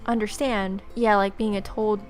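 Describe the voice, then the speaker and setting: A woman's voice: medium pitch, little pitch movement, moderate pace. A young woman reading visual novel narration aloud as a let's player. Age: young adult. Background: game music.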